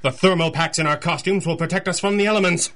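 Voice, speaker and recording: An actor playing an unflappable masked crime-fighting boss in a radio superhero drama, reasoning crisply and giving orders. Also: male voice, fairly high pitch, steady tone, quick pace, close to an everyday voice. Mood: urgent, commanding. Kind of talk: 1930s-set radio superhero drama acting.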